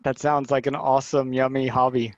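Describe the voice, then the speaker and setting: A man's voice: mid-range voice, flat delivery, brisk, dry recording. A man interviewing a guest in a friendly, joking way, with hesitant starts. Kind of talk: casual video-call interview. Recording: dry, dull lo-fi audio.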